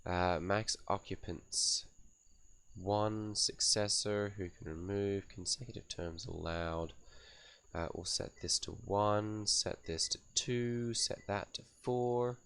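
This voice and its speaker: Raspy low-voiced man getting over a cold. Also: takes it slowly, a bit bright. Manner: thinks aloud while reading out menu settings. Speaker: gaming channel host. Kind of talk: casual game setup commentary.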